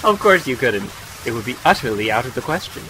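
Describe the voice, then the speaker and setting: Polite gentleman with a lively, swinging intonation, acted. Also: mid-range voice, brisk, slight background noise, poor audio. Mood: obliging. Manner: courteous, deferential, formal. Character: polite, humble, obliging gentleman stranger. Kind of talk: audio drama acting, period dialogue. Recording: steady rain sound effects behind.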